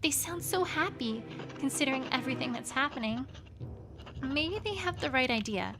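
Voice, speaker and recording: A woman's voice: high voice, lively intonation, normal speed, background noise. A woman voicing a fretful young unicorn scholar pony. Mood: tired, uneasy. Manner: earnest, fretful first-person diary reading. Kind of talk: journal read aloud as a fan audiobook. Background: music.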